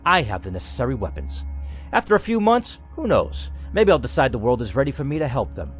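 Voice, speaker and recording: A man voicing a cold, arrogant lead scientist in a story game, calm, aloof and condescending. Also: medium pitch, a little fast, soft background music, close to an everyday voice. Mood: calm, self-important.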